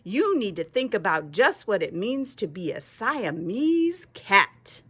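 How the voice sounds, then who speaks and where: A woman's voice: sits high, big pitch swings, unhurried, fairly bright tone, voice pushed up, full character voice. A woman voicing a stern, exasperated mother Siamese cat who lectures emphatically in a storybook reading. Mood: scolding.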